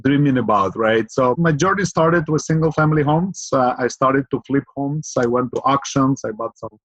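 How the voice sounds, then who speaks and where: A middle-aged man from Ukraine, a podcast guest, talking in an earnest, measured way with fillers, often asking "right?". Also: medium pitch, fairly bright tone, dry recording, lo-fi sound. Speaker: guest, real estate investor. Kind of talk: podcast interview.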